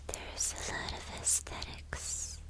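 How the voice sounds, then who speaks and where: Woman acting as vain villain girlfriend, asmr roleplay, whispers real slow, obsessive and breathy. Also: close to an everyday voice. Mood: doting, obsessive. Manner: slow, breathy, lingering, repeating phrases.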